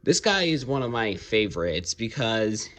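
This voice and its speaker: A man giving a casual, unscripted review of his hobby collection, talking haltingly and pausing mid-phrase. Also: fairly low pitch, sing-song, fairly bright tone, a little hiss or hum. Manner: halting, rambling delivery with pauses mid-phrase.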